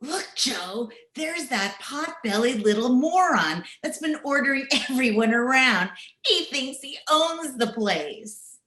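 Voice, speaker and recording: A woman's voice: sits high, lively intonation, bright, forceful tone, roomy sound, full character voice. A woman playing a catty, scornful bystander in an old-time radio comedy. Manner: sneering, drawn-out complaint.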